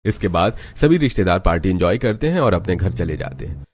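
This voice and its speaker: A man's voice: deep voice, sing-song, a little fast, a little mellow. A man narrating a scripted moral story as a storyteller.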